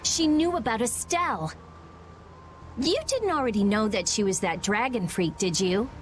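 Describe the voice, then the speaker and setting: A woman playing a sharp-tongued, hot-tempered, brainy teenage girl mage in a video game, blunt and testy. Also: on the high side, animated, normal speed, a little hiss or hum, natural voice. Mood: angry.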